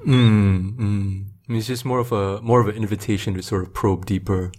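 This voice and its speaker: A man's voice: fairly low pitch, steady tone, fairly quick, darkish tone. A man hosting a casual podcast chat, feeling his way through sentences with repeated words and easy laughter. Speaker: podcast host.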